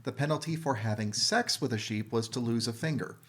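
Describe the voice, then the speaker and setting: Male panelist, medium pitch, casual but pedantic, makes his case with dry wit. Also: a little fast, a bit bright. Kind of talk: casual gaming debate.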